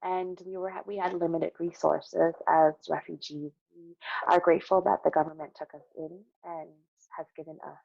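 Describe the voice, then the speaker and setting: A woman's voice: low-pitched, level tone, moderate pace, vocal fry, dry recording, dull audio. A middle-aged American woman speaking earnestly as an interview guest, explaining things from her own experience and repeating phrases for emphasis. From Connecticut, United States. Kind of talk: conversational interview, sharing personal experience.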